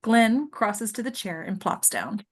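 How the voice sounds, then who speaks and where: A woman's voice: medium pitch, animated, unhurried. Woman reading screenplay stage directions. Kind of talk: scripted narration at a table read.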